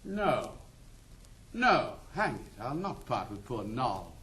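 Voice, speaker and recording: An actor playing a carefree, spendthrift young English gentleman in classic stage comedy, with a flamboyant, jaunty delivery. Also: medium pitch, huge pitch swings, slow, heavily acted. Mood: stubborn.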